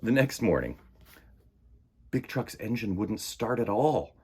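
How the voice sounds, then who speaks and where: A man's voice: fairly low pitch, very animated, slow pace, dark tone, husky. A man reading a picture book aloud to children with dramatic emphasis and stretched words. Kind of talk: animated children's story reading.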